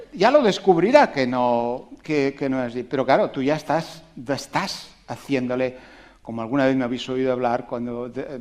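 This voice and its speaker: A man giving a public talk to an audience on education, animated and emphatic, asking rhetorical questions and making conversational asides. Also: medium pitch. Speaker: invited speaker on education.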